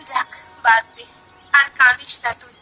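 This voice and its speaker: A woman's voice: sits high, level tone, moderate pace, sharp tone, soft background music. A woman playing an elderly, poor village mother in a cartoon story, fretful and protective, full of folksy questions and exclamations. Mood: sad, consoling. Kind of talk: Bengali animated moral story acting.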